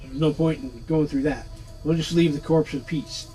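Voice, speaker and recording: A man casually commenting as he plays an old computer game, relaxed and thinking aloud. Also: fairly high pitch, moderate pace, soft background music, low-quality recording. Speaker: gaming channel host. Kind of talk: casual let's-play commentary.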